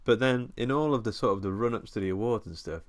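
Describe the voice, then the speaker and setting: A man with a fairly low voice hosts a horror film podcast, talking in a relaxed, chatty way full of little restarts. He is close on a podcast mic with a dry, dead sound. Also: fast, no echo. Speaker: podcast host. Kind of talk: casual film podcast chat.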